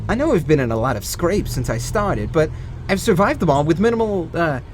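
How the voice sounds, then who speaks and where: An actor playing a jittery, eccentric young cartoon bootlegger, wordy, theatrical and prone to rambling and hesitating. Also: male voice, on the high side, big pitch swings, a little fast, background noise. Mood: rambling, hesitant.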